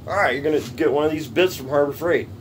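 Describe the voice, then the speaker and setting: A man's voice: on the high side, quick pace. Folksy man, distant noisy workshop recording. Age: middle-aged. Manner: folksy, plainspoken, repeats points for emphasis. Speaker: DIY tool-building presenter. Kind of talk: hands-on workshop tutorial, casual. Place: small cluttered indoor workshop. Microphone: camera a few steps away. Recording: distant camera mic, noisy workshop hum.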